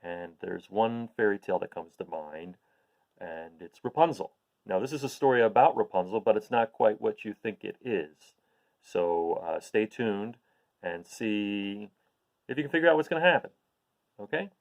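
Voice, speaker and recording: A man reading a rhyming picture book aloud to young listeners in an expressive way. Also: on the low side, normal speed, lo-fi sound. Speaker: children's story reader.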